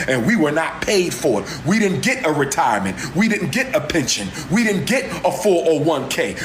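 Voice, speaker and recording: A man's voice: on the high side, a bit bright. A man giving an impassioned speech, declaiming in chant-like repetitions. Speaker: activist speaker. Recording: echoey room with faint ambient noise.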